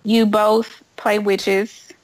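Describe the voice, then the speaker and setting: A woman's voice: medium pitch, level tone, extremely slow. Enthusiastic woman podcast co-host. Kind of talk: casual podcast chat.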